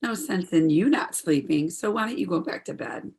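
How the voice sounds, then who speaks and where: A woman's voice: fairly low pitch, moderate pace, darkish tone, close to an everyday voice. A woman playing an earnest, troubled daughter in her twenties in a stage drama, speaking in a heartfelt, pleading way. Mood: evasive, subdued. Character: earnest, troubled 26-year-old daughter, medical student. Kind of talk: stage play dialogue, audio performance.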